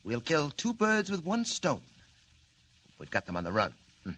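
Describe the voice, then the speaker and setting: A man's voice: fairly high pitch, huge pitch swings, moderate pace, fairly bright tone, breathy edge, heavily acted. An actor playing a scheming, mocking male villain mastermind in 1940s radio acting, with smug, stiff, formal phrasing.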